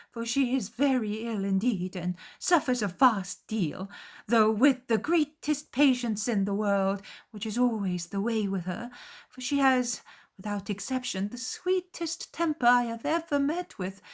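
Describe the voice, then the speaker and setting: An excitable middle-aged Regency mother, as a female reader plays her, pitched high and very animated. She gushes and takes offense with great emphasis, in the style of a dramatized audiobook. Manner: gushing, indignant, emphatic.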